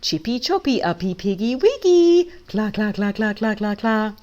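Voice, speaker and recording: A woman's voice: mid-range voice, sing-song, normal speed, darkish tone, muffled recording, lightly acted. A woman voicing a mocking, gloating evil chicken in a children's storybook, with sing-song baby-talk taunts.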